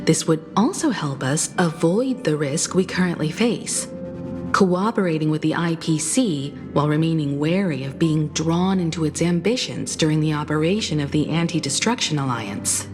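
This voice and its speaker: An actress playing a thoughtful adventurer and adviser in a video game, reasoning things out in an articulate way. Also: female voice, sits low, lively intonation, moderate pace. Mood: reasoned, approving. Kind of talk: scripted game voice acting. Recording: loud music behind.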